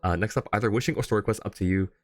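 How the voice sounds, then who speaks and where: Male game streamer, low pitch, casual chat, easygoing, thinking aloud, faint background music. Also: rapid-fire, dry recording. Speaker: game livestreamer.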